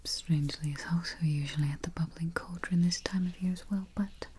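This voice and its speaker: A woman doing a soft, breathy, mostly whispered ASMR roleplay as a politely morbid vampire travel agent, murmuring with dry understatement close to the microphone. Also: fairly low pitch, fairly even intonation, fairly quick. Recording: faint hiss, close keyboard typing and clicks. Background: typing, clicking and gentle tapping.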